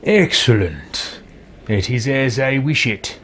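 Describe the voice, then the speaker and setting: A man roleplaying a haughty, commanding fantasy ruler in a video game, imperious and deliberate. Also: medium pitch, very animated, a bit bright, slight background noise. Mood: smug. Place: small room with bookshelves.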